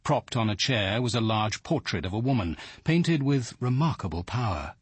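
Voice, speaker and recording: An actor playing an observant, cultured detective narrating a radio drama in a measured, precise British voice with some huskiness. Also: male voice, medium pitch, lively intonation, slightly rough, no room sound. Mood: reflective, composed. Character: observant, cultured male detective narrating his own story. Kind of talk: scripted first-person drama narration.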